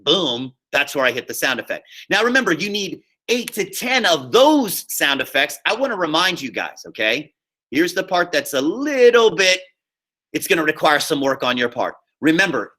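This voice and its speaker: A man's voice: fairly high pitch, big pitch swings, moderate pace, pushed and bright. A man teaching drama, giving informal, encouraging step-by-step instruction and often checking that the class follows. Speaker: high school drama teacher. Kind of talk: casual spoken instruction to a class over screen share.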